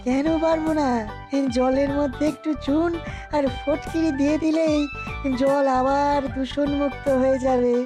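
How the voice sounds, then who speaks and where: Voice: sits high, level tone, unhurried, music in the background. An actor voicing a helpful, confident village scientist in a Bengali cartoon.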